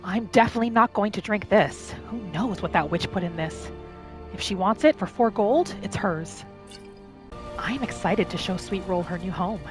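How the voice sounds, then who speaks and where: Gently musing woman over music. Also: medium pitch, moderate pace. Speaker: roleplay gaming host. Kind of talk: in-character storytelling game narration. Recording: music behind the voice. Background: background music.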